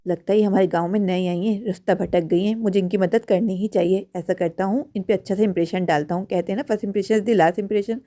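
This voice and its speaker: A woman's voice: mid-range voice, fairly even intonation, fairly quick, hardly any pauses, mellow, pitched below the performer's own voice, lightly acted. A woman voicing a smitten, helpful village man musing eagerly to himself in a cartoon. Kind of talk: cartoon inner monologue.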